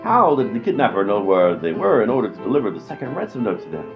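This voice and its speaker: Animated mock-dramatic male radio announcer. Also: on the low side, fast. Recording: muffled lo-fi sound, music behind.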